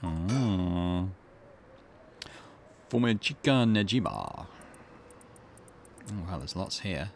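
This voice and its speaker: A man hosting a game playthrough, reading the text aloud in a low, animated voice. He thinks out loud between passages with small hesitations, and a faint hum sits behind him. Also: very slow, creaky, pauses often. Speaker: gaming channel host.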